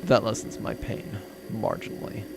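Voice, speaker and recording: Audio drama pirate bookkeeper played by a man: sardonic, wordy, worn down by pain, low and deadpan, noisy recording. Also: moderate pace, lightly acted. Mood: dry, pained. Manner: dry, wordy, deadpan sarcasm. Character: sardonic, weary male pirate accountant held captive. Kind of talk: comedic audio drama acting.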